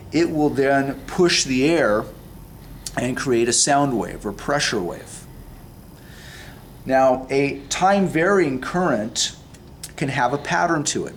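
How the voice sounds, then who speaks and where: A man in his forties explains a science demonstration step by step, like a physics teacher, in a deliberate way. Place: classroom science lab, indoors. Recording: roomy, distant mic, background rumble.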